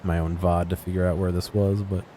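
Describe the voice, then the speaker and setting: Low-voiced man with noise behind. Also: little pitch movement, fairly quick, warm tone. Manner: low-key, musing to himself. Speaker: gaming video host. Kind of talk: casual off-the-cuff game exploration commentary.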